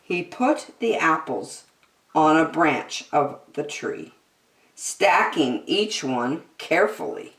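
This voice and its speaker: A woman reading a children's storybook aloud in an expressive, deliberate storytelling manner. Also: deep voice. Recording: roomy, echoing sound.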